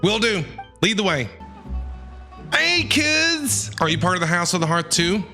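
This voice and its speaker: A man reacting casually to a game as a streamer, animated, excitable and jokey. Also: sits high, a little slow, soft background music. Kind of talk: casual live gameplay reaction.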